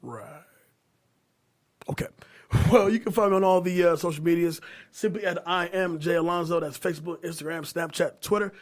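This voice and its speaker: A man's voice: high voice, fairly even intonation, moderate pace. A man of about thirty hosting a podcast with casual, slangy hype and some swearing. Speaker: podcast host.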